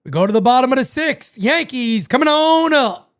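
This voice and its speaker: Hoarse, excitable male fan play-by-play host. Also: very high voice, normal speed, heavy background noise. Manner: excitable, shouts on big plays, swears freely. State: hoarse, losing his voice. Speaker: fan play-by-play host of a live watch party. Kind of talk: live fan play-by-play and chat with viewers.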